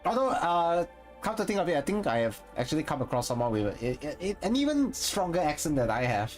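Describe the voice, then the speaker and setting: A man's voice: fairly high pitch, very animated, a little fast, soft background music. A man with a Singaporean accent chatting casually with viewers on a live stream, rambling and correcting himself as he goes. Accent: Singaporean English. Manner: rambling, thinks aloud and corrects himself mid-sentence. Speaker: streamer and content creator.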